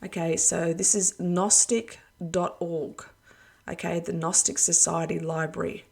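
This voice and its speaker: A woman speaks to her online followers as a preacher, hoarse as though losing her voice. Her tone is low and gravelly with little rise and fall. She rambles earnestly, leaning on fillers as she goes. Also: fairly even intonation, a little slow, darkish tone. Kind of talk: casual video address to followers.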